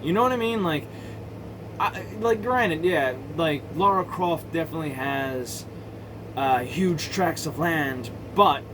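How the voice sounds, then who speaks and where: A man with a slightly high voice talks off the cuff in casual, opinionated commentary. He stops, restarts and circles back on his points, with blunt slang and swearing. Clear background noise runs under the recording. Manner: halting, self-interrupting, circling back to rephrase. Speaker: video game movie commentator.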